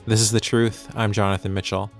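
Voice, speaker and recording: A man hosting a podcast, chatting in a relaxed, conversational way and reading ads with light hesitations. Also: fairly low pitch, a little fast, gravelly, soft background music. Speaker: podcast host. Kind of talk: chatty intro and ad reads.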